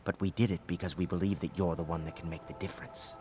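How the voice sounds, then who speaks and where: An actor playing a serious, resolute conspirator in a video game, speaking in a measured, earnest way. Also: male voice, low-pitched, steady tone, music in the background, lightly acted. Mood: solemn. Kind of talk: video game character acting.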